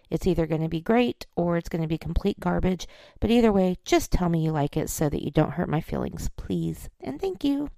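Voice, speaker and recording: Woman podcast host, hoarse scratchy voice with a low, mellow tone, rambling friendly chat. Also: dry recording. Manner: chatty, self-deprecating, rambling. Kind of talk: casual solo chat.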